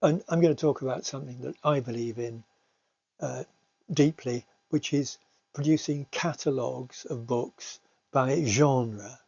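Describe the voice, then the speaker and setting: A man giving an informal slide talk as a language teacher, explaining things hesitantly with frequent pauses. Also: medium pitch, gravelly, darkish tone, husky. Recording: muffled, roomy home recording.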